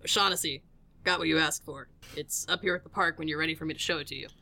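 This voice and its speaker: A woman playing a curt, deadpan male park director, gruff and worn out, in a comic audio drama. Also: low-pitched, quick pace, pitched below the performer's own voice, full character voice.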